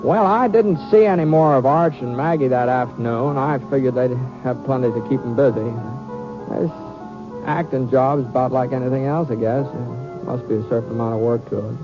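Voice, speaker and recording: An actor playing a mild, hesitant gunfighter in an old-time radio western, talking in a halting, folksy way and repeating himself. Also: male voice, mid-range voice, lightly acted. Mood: easygoing narrating. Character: mild, hesitant, drawling Old West gunfighter telling his tale. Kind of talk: old-time radio western acting and first-person narration. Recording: dull vintage radio recording, faint music.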